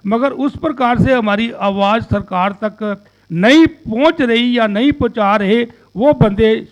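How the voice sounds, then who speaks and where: A man's voice: high-pitched, rough recording. A man in his sixties giving an earnest, rambling statement to the press and repeating phrases as he makes an impassioned appeal. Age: 60s. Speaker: social activist and businessman giving a media statement.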